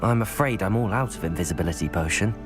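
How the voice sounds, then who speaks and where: An actor playing a courteous, level-headed young wizard student in a video game, reasoning in a gently skeptical way. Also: male voice, deep voice, fast, music in the background, lightly acted. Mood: calm, skeptical. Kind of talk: video game dialogue acting.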